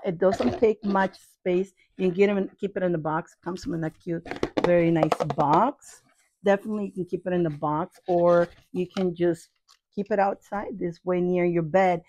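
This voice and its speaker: Low-voiced woman chatting playfully. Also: a little mellow. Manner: playful, addresses viewers affectionately, repeats phrases. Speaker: video host reviewing products. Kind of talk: casual chatty product review. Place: small cluttered room indoors.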